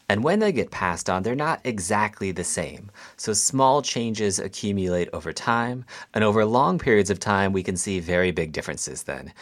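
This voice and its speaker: A man who is a scientist guest, calmly and patiently explaining an idea to children with everyday examples. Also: low-pitched.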